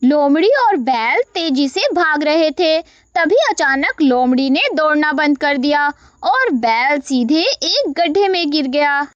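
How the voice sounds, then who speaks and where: A woman narrates a scripted story in a high, bright voice. She takes her time over each phrase. The sound is slightly dull and lo-fi. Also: a little hiss or hum.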